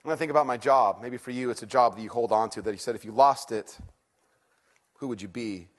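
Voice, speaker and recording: A middle-aged man preaching as a teaching pastor, conversational and self-deprecating, swinging from loud excitement to hushed intensity before a church congregation. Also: medium pitch, brisk. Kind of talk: sermon.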